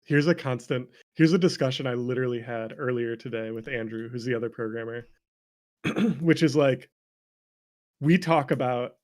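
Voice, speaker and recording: A man's voice: medium pitch, moderate pace, darkish tone. Man chatting in a rambling, jokey way. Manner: rambling, self-deprecating, jokey. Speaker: interview guest, game developer. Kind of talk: casual chat.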